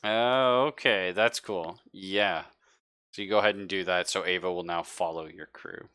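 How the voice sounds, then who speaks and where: A man's voice: sits low, normal speed, bright, forceful tone, clear, resonant tone. A man running a tabletop game as game master, chatting casually with the players.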